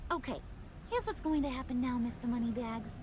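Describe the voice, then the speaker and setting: An actress with an American accent playing a playful, menacing young villainess in a video game, teasing in sing-song slang with mock sweetness. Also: female voice, on the high side, fairly quick, background noise, lightly acted. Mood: bossy, menacing.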